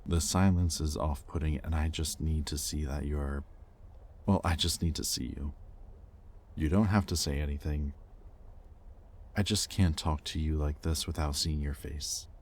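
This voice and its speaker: A man playing a possessive, conflicted demon in an intimate audio roleplay, with halting, sardonic delivery and secret tenderness. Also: extremely low pitch, steady tone, darkish tone, heavily acted. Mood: pleading, regretful. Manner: intimate, halting, sardonic, with sighs and muttered curses. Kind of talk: dramatic audio roleplay addressed to the listener.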